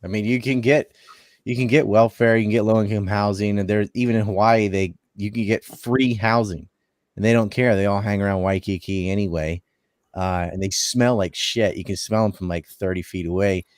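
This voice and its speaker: A man with a low voice talks casually on a panel. His manner is offhand and wry, and the sound is dry, without room echo. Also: fairly even intonation, moderate pace. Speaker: podcast panelist. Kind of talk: casual chat.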